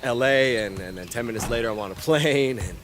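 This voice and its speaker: An actor playing a cocky, flustered young American basketball prospect, speaking in a halting, casual way in a game cutscene. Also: male voice, medium pitch, a bit bright, slight background noise, close to an everyday voice. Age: young adult. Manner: halting, stammering casual speech. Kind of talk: naturalistic video game cutscene acting.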